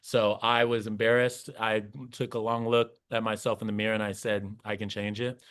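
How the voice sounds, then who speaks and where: A man's voice: fairly low pitch, flat delivery, moderate pace, fairly bright tone, clean tone. A young man speaking casually and earnestly as an interview guest, telling his own story in a self-reflective way. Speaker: podcast guest, nightlife and members-club professional. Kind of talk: casual interview talk, personal career story.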